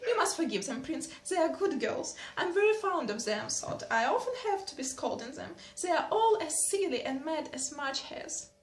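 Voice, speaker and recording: A high, exclamatory, bossy older noblewoman, as a woman reader puts her on, scolding her daughters with brisk authority. Heard in a roomy, echoing space from an audiobook. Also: big pitch swings. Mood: chiding. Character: fussy, quick-tempered noblewoman, a mother of three daughters. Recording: roomy echo.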